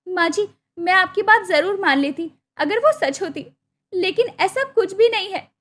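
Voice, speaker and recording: A woman's voice: very high voice, expressive, normal speed, a bit bright, pitched above the performer's own voice. A woman voicing a hopeful, devoted young village sister in a cartoon story, earnest and pleading. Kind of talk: Hindi cartoon moral story acting.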